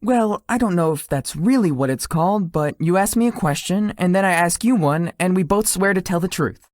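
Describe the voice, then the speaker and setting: A woman's voice: fairly low pitch, lively intonation, normal speed, darkish tone, no room sound, clean studio sound, lightly acted. A woman voicing a shy, easily flustered, sweet young man in a visual novel, with a hesitant manner. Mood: friendly, gentle. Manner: hesitant, stammering when embarrassed. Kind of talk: visual novel character acting.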